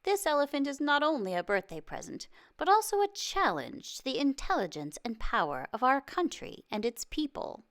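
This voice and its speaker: A woman's voice: high voice, big pitch swings, moderate pace, hardly any pauses, fairly bright tone, voice pushed up. A woman voicing an amused, commanding prime minister and proud father in a storybook, with a stately manner. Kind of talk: storybook read-aloud.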